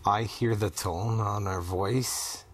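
A middle-aged man speaks as a client in a counseling conversation. His voice is low and his pace very slow, coming out in short, halting fragments. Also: fairly even intonation. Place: small TV-style studio set, indoors.

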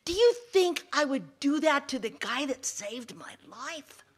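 Fable snake, female performer: high, swooping, buddy-buddy wheedler with a treacherous, taunting streak. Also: animated, unhurried. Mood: pleading, wheedling. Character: sly, treacherous, wheedling male snake who gloats. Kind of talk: animated fable storytelling.

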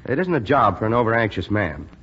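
An actor playing a stern, unyielding lieutenant flight instructor, clipped and authoritative, in a 1940s radio drama. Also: male voice, fairly low pitch, sing-song, fast, fairly bright tone. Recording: dull 1940s radio recording, faint noise.

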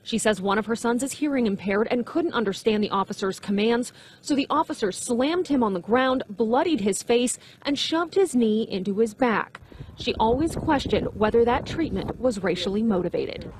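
Woman, news reporter, fairly high voice, scripted narration, faint noise underneath. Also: animated, moderate pace, a little mellow.

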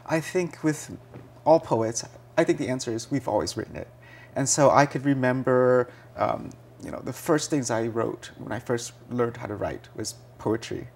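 Soft-spoken man in his thirties, guest poet. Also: medium pitch, moderate pace, gravelly, a little hiss or hum. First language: Vietnamese. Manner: relaxed, thoughtful, many fillers. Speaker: poet and editor. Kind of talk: TV interview guest. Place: small TV studio set. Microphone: lapel mic.

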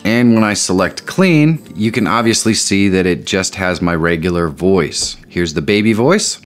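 Lowish-voiced man giving a tutorial. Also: lively intonation, normal speed, faint music behind. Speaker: tech tutorial host. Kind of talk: casual step-by-step software tutorial. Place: indoor home studio.

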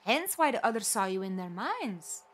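A woman's voice: medium pitch, sing-song, moderate pace, slight background noise, natural voice. A woman playing an inquisitive, practical companion in an audio drama, direct and questioning. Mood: curious, probing.

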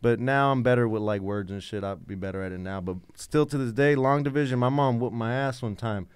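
Man, 30, from South Central LA, smooth voice, dry close mic, animated storytelling. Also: mid-range voice, brisk, dry recording. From South Central Los Angeles. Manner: animated storyteller, swears freely. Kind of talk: casual podcast chat. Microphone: boom mic on arm.

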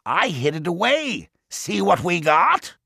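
A man voicing a small, grieving male house brownie who speaks in slow, singsong rhymes with a gravelly voice. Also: high voice, very animated, sharp tone, dry recording, studio quality, pitched above the performer's own voice, heavily acted. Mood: solemn. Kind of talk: audiobook character voice.

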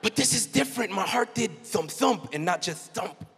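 Man in his thirties, high bright voice, youth keynote, handheld mic, hall reverb. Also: moderate pace, breathy edge, faint music behind. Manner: animated, storytelling, acts out quotes. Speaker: keynote speaker. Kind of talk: youth conference keynote talk. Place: large dark arena stage before a young crowd.

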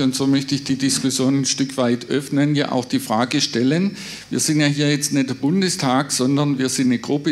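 A man making an earnest audience comment at a public discussion, in a halting but emphatic way. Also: a little high. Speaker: audience member. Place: conference hall audience.

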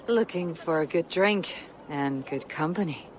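A woman's voice: lowish voice, unhurried, lightly acted. A woman playing a bold, blunt, resolute female Viking warrior in a dramatic video game cutscene. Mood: sly, flirtatious. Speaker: game protagonist.

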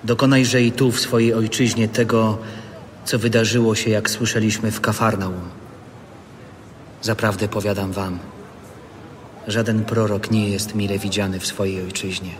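An actor playing Jesus as a grave, calmly authoritative preacher in a biblical radio drama, solemn and measured. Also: male voice, lowish voice, a little hiss or hum, close to an everyday voice. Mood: grave, admonishing. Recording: long reverb, audible background ambience.

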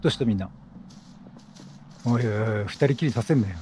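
A man's voice: lowish voice, quick pace, mellow, a little hiss or hum. A man giving casual let's play commentary, chatty and reacting aloud, questioning and repeating lines. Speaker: gaming streamer.

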